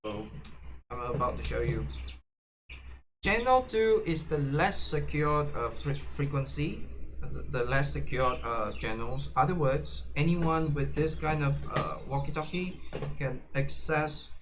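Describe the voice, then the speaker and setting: Slow, halting man in a noisy recording. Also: fairly high pitch. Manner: halting, often repeats and restarts phrases. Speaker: tutorial presenter. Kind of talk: casual unscripted how-to demonstration. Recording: clearly noisy background ambience.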